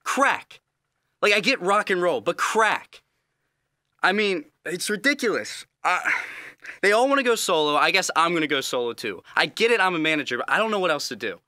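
A man's voice: high-pitched, expressive, moderate pace, bright, forceful tone, dry recording, studio quality. A man playing an exasperated band manager in a comedy sketch, repeating himself.

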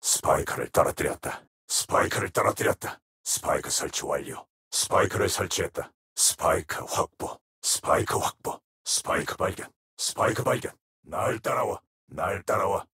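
An actor playing a menacing, tormented shadow wraith in a video game, with a gravelly, breathy rasp and grave, terse delivery. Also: male voice, sits low, expressive, normal speed, bright, forceful tone, exaggerated voice. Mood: terse, alert. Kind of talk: video game character voice lines.

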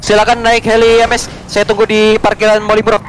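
A man's voice: high voice, monotone, quick pace, a loud crowd, rough recording. A man with an Indonesian accent making officer radio calls in online game roleplay. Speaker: roleplay gamer playing an officer. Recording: clear game engine noise. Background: game vehicle sounds.

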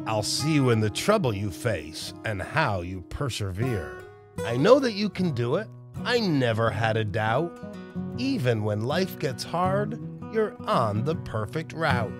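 A man reading a children's picture book aloud in an expressive, measured, encouraging way. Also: medium pitch. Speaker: storybook reader. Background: plucked guitar music.

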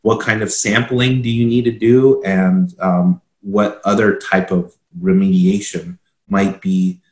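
A man in his forties explaining requirements informally and haltingly, repeating words as he goes. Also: low-pitched, steady tone. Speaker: consultant explaining a standard. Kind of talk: explanatory talk, reading requirements aloud. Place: small indoor office. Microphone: video call computer microphone. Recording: echoey room, video call.

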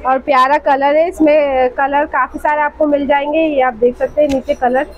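A woman's voice: high voice, steady tone, normal speed. A woman hosts a shopping vlog. She talks casually and keenly, full of praise and echoing the seller. There is some background noise from the shop. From Pakistan. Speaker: vlog host. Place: indoor fabric shop.

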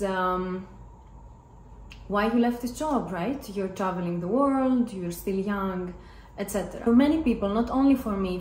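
A woman's voice: medium pitch, darkish tone. A woman in her twenties from Greece, a native Greek speaker with a Greek accent, telling a casual personal story to camera as a vlogger. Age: 20s. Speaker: vlogger and former flight attendant. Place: hard-floored living and dining room at home. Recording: roomy echo with clear background noise.

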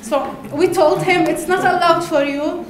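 A woman from Lebanon with an Arabic accent, giving a conference talk in halting English with hesitations and laughs. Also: extremely high pitch, fairly even intonation, a little hiss or hum. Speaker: conference speaker. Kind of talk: presentation talk. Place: large darkened conference hall. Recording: echoey hall, faint ambience.

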